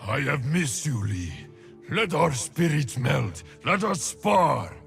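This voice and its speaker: A man playing a solemn, stern shaman warrior in a video game, with a gravelly voice and a slow, dramatic delivery. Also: fairly high pitch, big pitch swings, a bit bright, faint music behind, full character voice. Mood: solemn, fond. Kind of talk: video game character voice lines.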